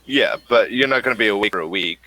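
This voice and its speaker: A man running a tabletop role-playing game who explains the rules in an unhurried, offhand way. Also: lowish voice, bright, forceful tone, no room sound, poor audio. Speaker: game master.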